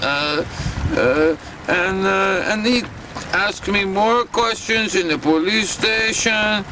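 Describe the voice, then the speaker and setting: A deadpan immigrant storyteller, done by a man speaks slowly in a fairly high voice. He halts often and fills the gaps with "uh" and "um". Clear boat noise sits behind him. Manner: halting, filler-heavy deadpan anecdote. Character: immigrant man recounting a run-in with police. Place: aboard a boat.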